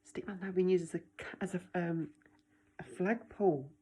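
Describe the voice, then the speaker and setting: A woman's voice: lowish voice, normal speed, dark tone, breathy edge. A woman reading a picture book aloud expressively, like a teacher, with dramatic delivery and direct asides to young listeners. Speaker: children's story reader, teacher.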